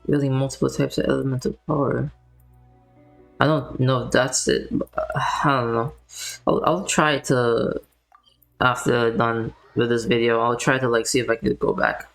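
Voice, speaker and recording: A man's voice: medium pitch, steady tone, normal speed, creaky, fairly bright tone, faint music behind, poor audio. A young man giving casual, slangy commentary while he plays a video game, in a laid-back way. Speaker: gaming streamer.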